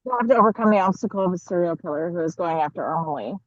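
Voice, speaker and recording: A woman from the United States giving casual interview answers as a guest author, halting as she thinks aloud. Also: fairly low pitch, animated, no echo, lo-fi sound.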